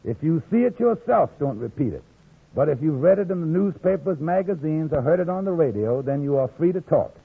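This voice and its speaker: A man giving a measured, admonishing old radio announcement in a gravelly, very raspy voice. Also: on the high side, animated. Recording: tinny, narrow old radio recording.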